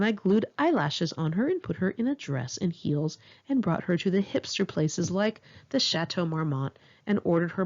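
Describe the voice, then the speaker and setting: A woman's voice: lowish voice, gravelly, darkish tone, dull audio. A woman reads her own memoir aloud in a candid, wry, conversational way, like a casual audiobook. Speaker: author reading own memoir.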